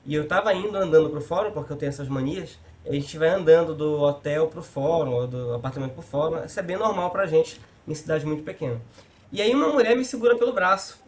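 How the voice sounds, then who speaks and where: A man's voice: fairly high pitch, fast. A man hosting a podcast, a judge himself, who tells anecdotes and acts out the dialogue in them. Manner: animated storyteller who acts out quoted dialogue.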